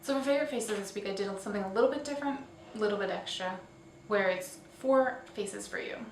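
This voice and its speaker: A woman's voice: medium pitch, fast talker. A woman hosting a casual, chatty roundup vlog, speaking informally and often correcting herself as she goes. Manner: informal, self-correcting, tentative on names. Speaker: host of a weekly online roleplay community show. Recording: echoey room, distant mic, faint hiss.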